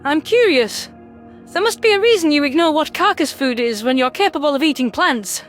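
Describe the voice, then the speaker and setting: Tiny inquisitive alien rodent, extremely high, swooping pitch, polite and tentative, light music underneath. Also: female voice, animated, brisk. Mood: curious. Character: small curious, polite female alien rodent. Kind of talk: sci-fi audiobook character voice.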